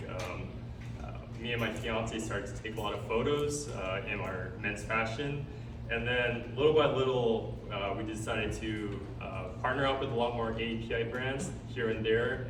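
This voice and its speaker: A man talks casually on a panel, often halting and repeating himself. His voice sits a bit low. He is recorded at a distance in a roomy, noisy space. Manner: informal, halting, repeats words. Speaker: content creator, panel guest. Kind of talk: casual personal account on a live panel. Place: indoor department store event. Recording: roomy event space, distant mic, clear background noise.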